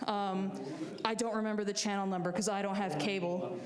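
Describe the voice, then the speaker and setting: A woman's voice: mid-range voice, steady tone, fairly quick, crowd noise. Young woman, chatty, self-deprecating, city TV filmmaker, echoey room. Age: young adult. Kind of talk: casual chat at a public meeting.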